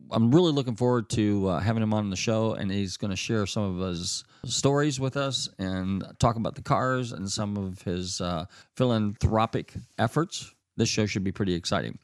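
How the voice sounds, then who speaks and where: A man's voice: lowish voice, no echo. Man, radio show host, upbeat announcer style, from California, dry close mic. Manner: upbeat, hyped-up announcer delivery with fillers. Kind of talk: radio talk show hosting.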